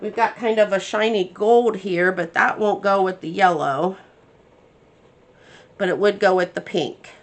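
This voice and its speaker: A woman's voice: medium pitch, measured pace. A woman hosting a craft tutorial, chatting casually and thinking aloud as she works, a bit congested from her sinuses. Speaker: crafting channel host.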